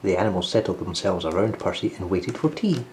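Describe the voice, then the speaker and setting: A man narrating a children's storybook as host, in an expressive read-aloud style. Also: low voice, a little fast, slight background noise.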